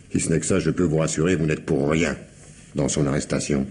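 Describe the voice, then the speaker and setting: Sardonic police investigator, deep pitch, shrewd, wry, colloquial, radio crime play, faintly noisy old recording. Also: male voice, fairly even intonation, moderate pace, a little mellow. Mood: dry, reassuring. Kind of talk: French radio crime drama acting.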